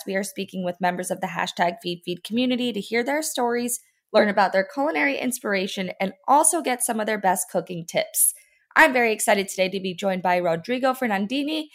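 Bubbly woman co-host, mid-pitched, friendly podcast intros. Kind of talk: podcast hosting.

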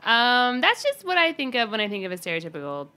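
A woman's voice: a little high, wildly swooping pitch, quick pace, pushed and bright, a little hiss or hum. A woman co-hosting a podcast in casual comedic chat, with a dry, blunt, sardonic way of talking. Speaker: podcast co-host.

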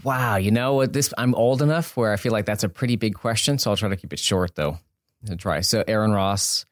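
A man's voice: fairly low pitch, a little fast, no echo, clean studio sound. A man in his forties giving a casual interview as a guest, informal and self-effacing, rambling a little as he explains. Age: 40s. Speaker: podcast guest, business author. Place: indoor studio space.

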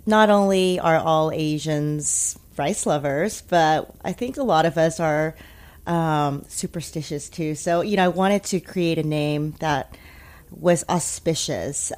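A woman born in Taiwan and raised in America, talking casually as an interview guest, thoughtful with many pauses and restarts. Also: deep voice, a little mellow. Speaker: interview guest, food media founder.

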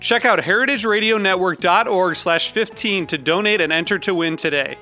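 A man with a high, bright voice reads a fundraising appeal from a script. The read is earnest and polished, with soft guitar music playing behind him. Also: a little fast. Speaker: network announcer.

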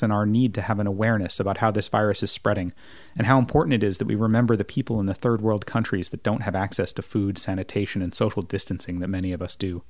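A man hosting a podcast, reading a measured intro and then asking questions with some hesitation. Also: lowish voice, dry recording. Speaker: podcast host. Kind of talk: scripted intro then casual interview.

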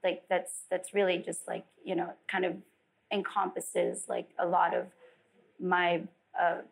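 A woman from the Philippines speaks on a live panel in a low, gravelly voice. Her talk rambles along with many fillers. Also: little pitch movement, unhurried, low-quality recording. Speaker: executive chef. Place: event venue with audience.

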